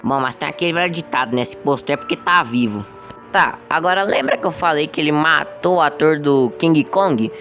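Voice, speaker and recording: A man's voice: fairly high pitch, a little fast, pushed and bright. A young man with a Brazilian accent hosting a casual explainer, telling a story informally and talking straight to viewers. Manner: informal, conversational, addresses viewers directly. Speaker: video host. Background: music.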